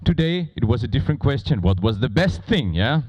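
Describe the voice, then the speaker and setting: A man who is not a native English speaker moderating a conference on stage, talking informally and playfully with a loud, projecting voice. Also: mid-range voice, sing-song, fairly quick, lo-fi sound, slight background noise. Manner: playful, bantering with audience. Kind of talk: informal live stage presentation. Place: conference stage before a large audience.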